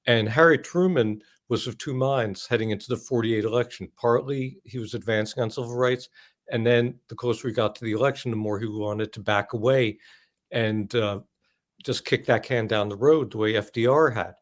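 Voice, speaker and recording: A man's voice: medium pitch, normal speed. An older American man speaking as an author in an interview, explaining things emphatically in long, well-built sentences.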